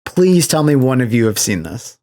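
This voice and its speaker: A man's voice: medium pitch, moderate pace, a little hiss or hum. Casual male podcast host telling stories. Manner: animated storyteller, acts out quotes in asides. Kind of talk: casual chat recapping films.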